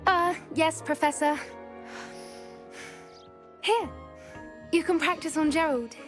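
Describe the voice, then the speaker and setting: Teenage girl, high voice, friendly, eager, kind animal lover, game cutscene, music behind. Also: slow. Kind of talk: video game cutscene acting.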